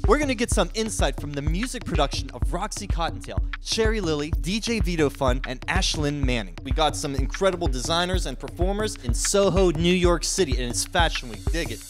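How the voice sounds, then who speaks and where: A man's voice: on the high side, animated, a little fast, few pauses. A man hosting a music tech show, presenting with upbeat, hyped energy at an event venue. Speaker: host.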